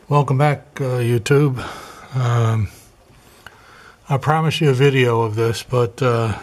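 Middle-aged man, DIY vlogger: slow, rambling how-to talk, mid-pitched, clears his throat a lot, camera mic in a garage workshop. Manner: unhurried, rambling, lots of uhs and throat clearing. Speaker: DIY home repair vlogger. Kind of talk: casual how-to narration. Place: garage workshop and attic crawl space, indoors.

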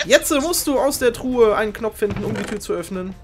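A young man hosting a game show, giving informal, excitable live commentary on a video game race. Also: high voice, brisk. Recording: music behind the voice.

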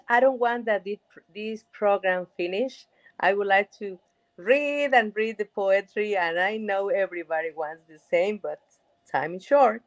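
A middle-aged woman, reciting her poetry slowly and expressively over a video call from a room at home. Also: medium pitch. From USA. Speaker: poet. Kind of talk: poetry reading. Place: home room with lamp and shelves. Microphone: webcam on video call.

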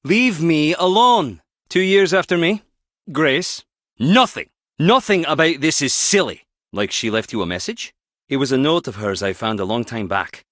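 An actor playing a wry, guarded skip tracer in a video game, teasing yet protective, speaking in a clipped, conversational way. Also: male voice, medium pitch, expressive, normal speed, a bit bright, no room sound, studio quality, natural voice. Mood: agitated, then reflective. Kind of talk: video game character dialogue.

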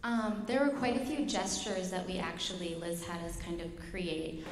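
A woman's voice: fairly low pitch, steady tone, normal speed. A young woman, a dancer, explaining casually on a panel. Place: large concert hall stage. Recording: echoey concert hall, clear noise.

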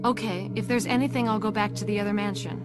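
A woman's voice: mid-range voice, fairly even intonation, quick pace, over loud music, natural voice. A woman playing an earnest, resolute young special police operative in a video game cutscene.

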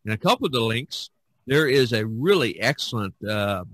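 A man's voice: mid-range voice, expressive, normal speed, fairly bright tone, dry recording. A man hosting an art podcast, talking loosely with many fillers and restarts. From United States. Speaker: podcast host. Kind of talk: casual podcast talk.

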